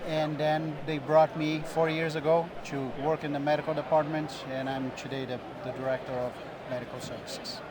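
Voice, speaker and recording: Brazilian man, middle-aged physician guest, slightly raised pitch, measured explainer, noisy trade floor behind. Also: level tone. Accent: Brazilian Portuguese. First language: Portuguese. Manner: measured, explanatory, with small restarts. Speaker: diving medicine physician guest. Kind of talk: interview answers. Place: busy expo booth floor.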